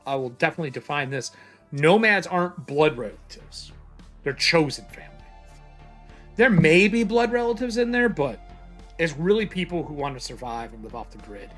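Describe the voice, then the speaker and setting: Game-master type, man, slightly higher voice, excitable, casual cursing, faint music bed. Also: normal speed. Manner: excitable, swears freely, explains rules loosely. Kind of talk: casual tabletop game session chat.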